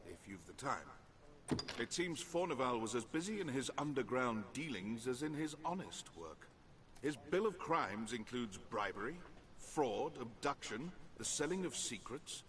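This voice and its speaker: An actor playing a stern, formal court official in a fantasy video game, using measured archaic diction. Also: male voice, mid-range voice, fairly bright tone, a little hiss or hum, natural voice.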